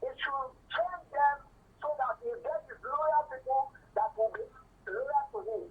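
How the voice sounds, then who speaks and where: A Nigerian man with a very high voice speaks as a phone-in caller. He gives his opinion haltingly, often repeating words. The sound comes down a thin telephone line. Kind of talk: giving opinion on air. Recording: narrow, distant telephone line.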